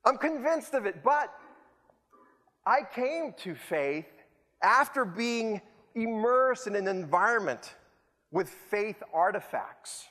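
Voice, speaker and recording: A man's voice: high-pitched, expressive, slow pace, a bit bright. A man from El Salvador, preaching a sermon with rhetorical repetition and self-deprecating humor. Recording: long hall reverb.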